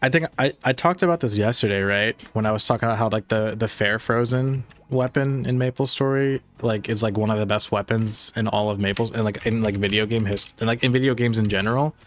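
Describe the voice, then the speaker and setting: A man's voice: on the low side, brisk, hardly any pauses. A young man casually chatting on a game stream, rambling with lots of fillers, with a slightly nasal voice. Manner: rambling, many "like" fillers, laid-back. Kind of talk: casual livestream chat reading chat.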